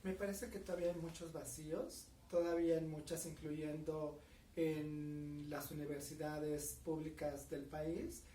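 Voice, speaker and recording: A woman's voice: low voice, dark tone, a little hiss or hum. Earnest Mexican woman in her forties. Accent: Mexican Spanish. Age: 40s. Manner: measured, earnest, with frequent fillers. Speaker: interviewee. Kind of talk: recorded interview. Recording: echoey room, mic at a distance.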